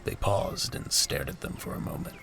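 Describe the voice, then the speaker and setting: A man's voice: moderate pace, background noise. A man giving scripted story narration for an audio drama as its narrator.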